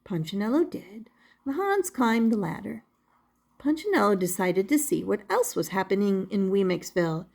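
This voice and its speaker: An older woman reading a children's story expressively, in a deliberate manner suited to young listeners. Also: mid-range voice, sing-song, vocal fry, a little mellow. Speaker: storybook reader.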